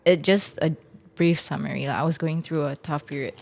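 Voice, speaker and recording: A woman's voice: low-pitched, brisk, creaky, darkish tone. A woman of twenty-seven with a Singaporean accent, talking informally as a podcast guest, close on the mic. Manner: informal, peppered with "like". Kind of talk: conversational interview, personal storytelling. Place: small room studio with couch. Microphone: close podcast mic on boom arm.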